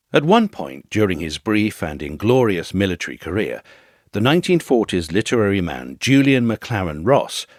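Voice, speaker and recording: A man reads a scripted literary essay in a measured, articulate and expressive way. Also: low-pitched, dry recording, studio quality. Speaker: audio essay reader.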